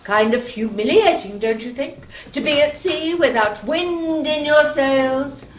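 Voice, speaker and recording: A woman acting a proud, wry goddess of the hunt in a stage comedy. Her high voice moves freely in pitch and sounds distant in an echoey room. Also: expressive, a little slow. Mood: wry, needling. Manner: dry, pointed, needling. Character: wry, proud young huntress goddess, visiting niece. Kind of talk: live one-act stage comedy acting. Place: stage of a small playhouse. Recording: distant echoey theatre, clear background noise.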